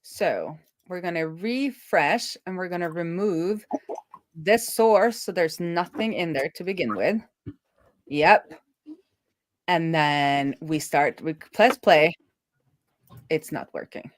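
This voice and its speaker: A woman talking casually as she live-codes, thinking aloud in a playful, animated manner. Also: fairly low pitch, slow pace, long pauses, a little hiss or hum. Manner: animated, playful, breaks into laughter and song. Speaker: live-coding streamer, web developer.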